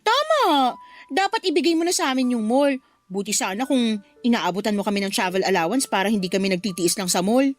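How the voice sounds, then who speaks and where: A woman's voice: a little high, animated, brisk, soft background music, close to an everyday voice. A woman with a Filipino accent playing a cheeky, flippant mistress in a radio drama. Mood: insistent.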